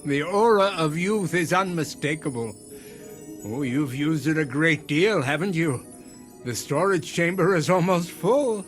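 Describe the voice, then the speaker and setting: A man's voice: fairly high pitch, measured pace, faint music behind, lightly acted. An actor playing an elderly, courtly, sinister man with a theatrical, savoring, formal way of talking in a radio drama. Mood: savoring, rapturous. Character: elderly, courtly, sinister man dealing in a mysterious time device. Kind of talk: dramatic radio-play acting.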